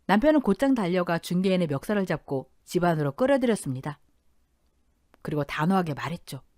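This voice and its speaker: A woman's voice: lowish voice, sing-song, fast talker, darkish tone, no room sound, clean studio sound. A woman in her thirties narrating a dramatic first-person family story in an intense, emotional telling.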